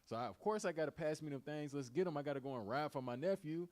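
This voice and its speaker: A man's voice: on the high side, lively intonation, fast, a little mellow. A man leading an informal Bible study, teaching and retelling in colloquial, repetitive everyday speech.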